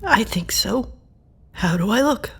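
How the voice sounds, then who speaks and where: Frail, breathy, slow-spoken dying woman. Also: a little high, lively intonation, a bit bright, full character voice. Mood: weak, tender. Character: frail dying woman, tender, stubborn, teasing. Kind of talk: audio drama acting.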